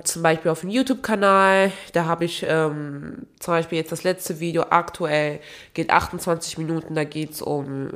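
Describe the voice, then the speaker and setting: A young woman hosts her own podcast in a loose, chatty ramble. Her voice is a touch low and noticeably nasal, as if she has a cold, and she fills pauses with plenty of ums and uhs. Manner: rambling, apologetic, many fillers. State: stuffy nose from a cold. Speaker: podcast host. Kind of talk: casual solo podcast chat.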